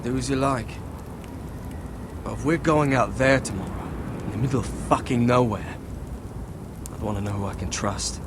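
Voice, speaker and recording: A man's voice: mid-range voice, normal speed, pauses often, background noise, close to an everyday voice. An actor playing a blunt, suspicious young watchman who swears freely in a video game. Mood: wary. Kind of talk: dramatic game dialogue.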